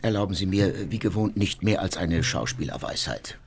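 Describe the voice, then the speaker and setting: A man's voice: deep voice, expressive, brisk, over music. An actor playing a skeptical man who answers curtly and dismissively. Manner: curt, dismissive.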